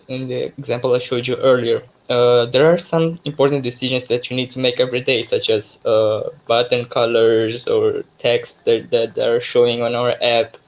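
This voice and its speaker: A young man from Mozambique whose first language is Portuguese presents an online tech talk. His voice sits at a middle pitch. He talks in a relaxed way and often stops and restarts his sentences. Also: poor audio. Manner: relaxed, many fillers and restarts. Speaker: guest speaker, developer expert.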